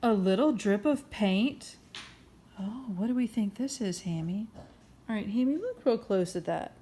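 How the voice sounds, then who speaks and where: A woman with a mid-pitched voice reads a picture book to children. Her delivery is expressive and encouraging, moving between reading aloud and chatty questions to the listeners. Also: unhurried, slight background noise. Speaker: children's story time host and reader.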